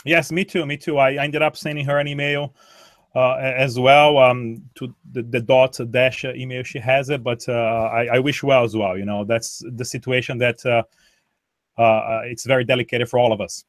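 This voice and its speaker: A Brazilian-born man whose first language is Portuguese, speaking as a guest in an interview, halting with frequent restarts and repeated words. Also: medium pitch, level tone, dry recording, studio quality. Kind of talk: conversational interview.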